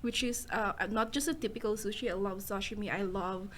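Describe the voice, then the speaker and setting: A woman's voice: medium pitch, fairly even intonation, a little fast, a little hiss or hum. A young woman, an international student, speaking hesitantly with many fillers in casual chat. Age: young adult.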